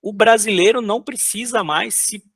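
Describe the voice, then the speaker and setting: A man from São Paulo talks in a relaxed, halting way, piling up fillers and restarts while he sketches. His voice sits a little high with a gravelly, creaky edge. The sound is close and dry. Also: dry recording. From São Paulo, Brazil. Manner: loose, hesitant, lots of filler words and restarts. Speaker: concept artist guest. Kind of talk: casual interview while drawing.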